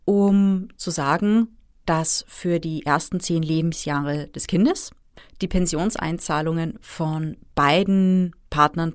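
Low-voiced woman explaining finance. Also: dry recording, clean studio sound. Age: 30s. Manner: explanatory, methodical. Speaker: finance expert guest. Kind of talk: interview answers.

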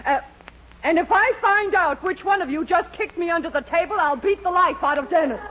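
Very high-pitched indignant mother-in-law. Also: fairly quick. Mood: outraged. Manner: scolding, threatening, blustery. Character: indignant, bossy, short-tempered mother-in-law, older woman. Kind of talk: 1940s radio comedy acting. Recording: muffled vintage radio recording, light hiss.

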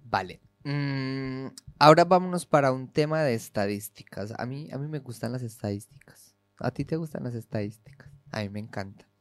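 A man's voice: mid-range voice, moderate pace, no room sound, faint music behind. Casual man talking with fillers, dry sound. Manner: chatty, filler-laden. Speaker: podcast co-host. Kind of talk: casual conversation.

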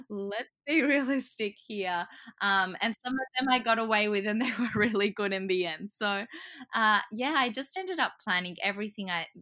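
A woman's voice: medium pitch, bright, forceful tone. A woman from Croatia, a podcast guest, talking chattily in an interview, laughing often and acting out what people said. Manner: chatty, laughs often, acts out quoted remarks. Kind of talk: casual interview chat.

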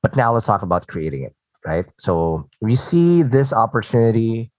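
Filipino guy w/ american-ish accent hosting a chat, lively up and down voice, dry close sound. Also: lowish voice, moderate pace, no room sound. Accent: American-sounding, learned in a call center. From Philippines. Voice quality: animated, emphatic, laughs often. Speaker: podcast host. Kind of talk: casual interview chat.

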